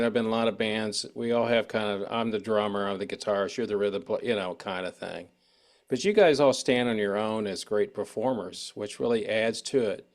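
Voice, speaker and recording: A man hosting a casual music interview, talking in a rambling, conversational way about his own experience as a musician. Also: lowish voice. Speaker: show host.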